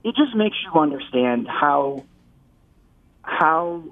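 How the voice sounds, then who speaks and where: Male interview guest, slightly higher voice, rambling and self-correcting, recorded down a thin phone line. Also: very animated, slow pace. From Metro Detroit. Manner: rambling, many restarts and repeated words. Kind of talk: casual interview chat.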